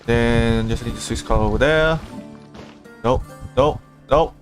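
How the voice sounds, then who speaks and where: A man's voice: medium pitch, measured pace. A man livestreaming a video game, casually muttering commentary to himself as he plays. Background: game music.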